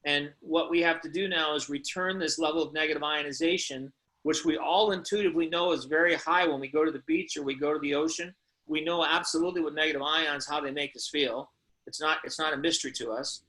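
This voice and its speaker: A man hosting a conference call, giving an emphatic, earnest and preachy motivational sales pitch and putting questions to his listeners. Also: fairly even intonation, fast talker, pushed and bright, poor audio.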